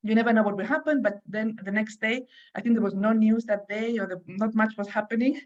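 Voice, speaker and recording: A woman's voice: fairly high pitch, steady tone, brisk. Earnest Spanish-accented woman academic, panel speaker, on a dull lo-fi call. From Spain. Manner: earnest, repeats words as she restarts. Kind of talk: online panel talk.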